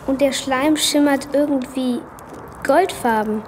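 An actress playing a dry, analytical spaceship assistant with a deliberate, matter-of-fact manner in an audio drama. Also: female voice, high-pitched. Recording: long reverb, faint ambient noise.

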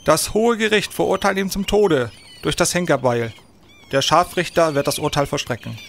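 An actor playing a grave, formal court official in a radio play, declaiming in official tones. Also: male voice, fairly high pitch, moderate pace, vocal fry, fairly bright tone, a little hiss or hum. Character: formal male court official reading out a death sentence.

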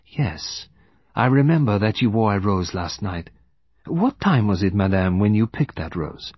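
A man voicing a meticulous, sly older male detective in an audiobook, with formal, deliberate foreign phrasing. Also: on the low side, big pitch swings, breathy edge, no room sound, lo-fi sound, full character voice. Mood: probing, courteous. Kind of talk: audiobook character voice.

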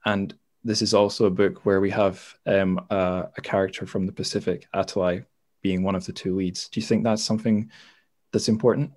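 Male interviewer with a slightly deep voice, informal and self-deprecating, feeling his way through questions. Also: little pitch movement, a little fast, darkish tone, no room sound. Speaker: podcast host, interviewer. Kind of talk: casual interview chat.

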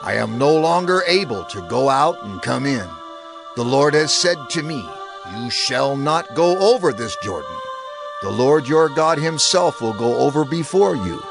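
A man's voice: medium pitch, expressive, a little slow, gravelly, over music. An actor playing an elderly male prophet and leader exhorting his people in a scripture audio drama, earnest and oratorical. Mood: resolute, encouraging.

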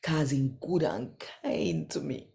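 A Jamaican woman in her sixties giving an expressive, deliberate scripture reading in Patois as host. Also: low voice, vocal fry. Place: small quiet room indoors.

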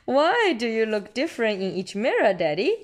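A curious little piglet girl from a picture book, voiced by a woman reading aloud. She is excitable and childlike, with a high, swooping pitch and drawn-out words. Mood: puzzled.

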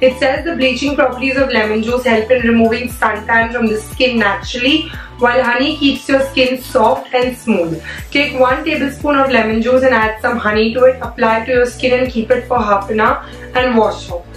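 A young woman talks in a hoarse, fairly high voice, chatting casually to her viewers with plenty of "like" fillers. She sits a little back from the mic in an echoey room, with faint music underneath. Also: fairly even intonation, normal speed, recorded from a distance. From India. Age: young adult. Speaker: beauty vlogger.

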